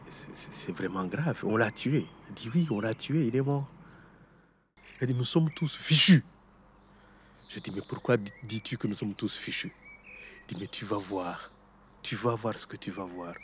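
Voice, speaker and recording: A middle-aged man with a Rwandan accent, recounting his own life reflectively in short, deliberate phrases. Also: on the low side, slight background noise. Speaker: interviewee, survivor giving testimony. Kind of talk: reflective personal testimony.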